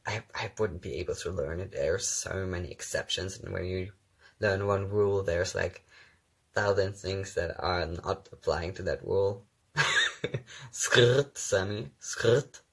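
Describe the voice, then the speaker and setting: A man's voice: low-pitched, measured pace, creaky, slightly rough. A young man whose first language is German chats casually on a phone livestream, reading viewer questions aloud and answering with ums and easy laughs. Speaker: actor hosting his own livestream.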